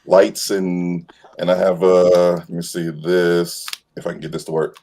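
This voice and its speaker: A man's voice: low voice, completely flat delivery, measured pace, warm tone, slight background noise. A man chatting casually as a guest on a livestream, easygoing and hesitant as he explains things with enthusiasm. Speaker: guest voice actor and cosplayer. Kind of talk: casual chat.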